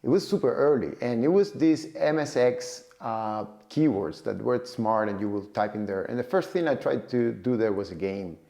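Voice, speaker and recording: A man's voice: mid-range voice, expressive, normal speed, gravelly, few pauses, dark tone. A man in his forties from Cuba, with a Cuban accent, talking casually about his own path into tech, with many fillers and repeated words. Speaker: data and AI tech professional. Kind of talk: casual personal talk to camera.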